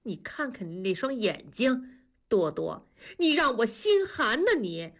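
A wounded middle-aged mother in an audiobook drama, played by a woman narrator, with a high, swooping voice. She is reproachful and indignant, trembling with emotion as she pleads. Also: very animated, measured pace, exaggerated voice.

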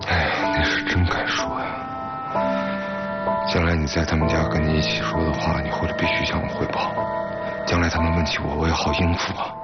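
An actor playing a guarded, exasperated undercover intelligence officer in a naturalistic TV drama. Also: male voice, extremely low pitch, level tone, fairly quick, muffled recording, over loud music. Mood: wary, reproving.

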